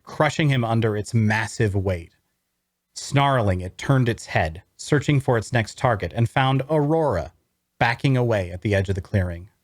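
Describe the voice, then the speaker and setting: A man giving a theatrical, expressive first-person narration of a fantasy story at a table read. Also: medium pitch, no echo, clean studio sound. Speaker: narrator, voice actor.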